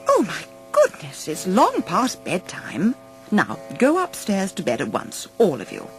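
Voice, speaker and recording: A woman voicing a sweet, kindly young princess in a storybook, gentle and polite. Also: mid-range voice, huge pitch swings, a little slow, faint music behind. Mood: gently bossy.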